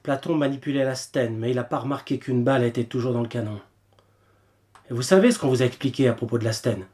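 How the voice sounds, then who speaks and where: A man playing a grave, determined wartime Resistance leader in a French audio drama, speaking in a measured, earnest way. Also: mid-range voice, a little mellow, close to an everyday voice. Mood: sombre, gentle.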